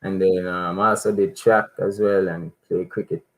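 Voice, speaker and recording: A young man from Saint Kitts answering interview questions calmly, with many pauses and fillers. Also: low voice. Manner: relaxed, many um fillers, soft-spoken. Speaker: footballer, interview guest.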